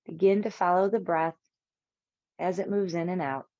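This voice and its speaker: Low-voiced woman guiding yoga relaxation. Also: steady tone, warm tone, no room sound, highs cut off. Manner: measured, soothing delivery with short phrases and long pauses. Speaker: yoga nidra instructor. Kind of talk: guided relaxation meditation, spoken instructions.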